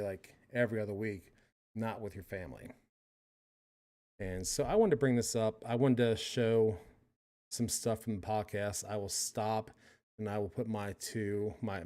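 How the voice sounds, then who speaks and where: A man's voice: fairly low pitch, mellow. A middle-aged man hosting a stream, talking casually and rambling, with frequent restarts. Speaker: stream host reacting to a podcast. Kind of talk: casual personal commentary.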